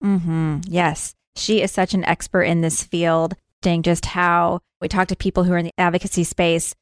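A woman's voice: fairly low pitch, level tone, normal speed, clean tone, dry recording, clean studio sound. A woman hosting a podcast in an informal, chatty and self-deprecating way. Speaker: podcast host. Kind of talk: chatty podcast intro and interview.

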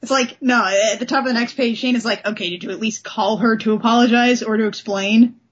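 Woman, husky voice, enthusiastic podcast host, informal comic chat, slightly muffled lo-fi audio. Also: on the high side, steady tone, brisk. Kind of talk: casual comic book discussion.

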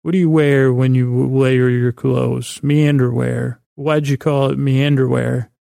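A man with a creaky voice hosting a sleep podcast, rambling in a halting, meandering way and often stumbling over his words. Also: mid-range voice, fairly even intonation, mellow, no echo, studio quality. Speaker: podcast host. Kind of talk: rambling bedtime-story sleep podcast monologue.

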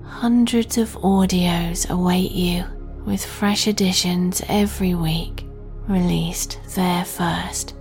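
A woman hosting a guided sleep meditation in a soft, breathy half-whisper, gentle and soothing. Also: lowish voice, fairly even intonation, soft background music. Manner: slow, soothing, gentle delivery.

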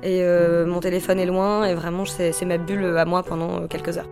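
A woman's voice: lowish voice, little pitch movement, fairly quick, music in the background. A woman in her twenties speaking in a casual interview, cosy and hesitant. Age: 20s.

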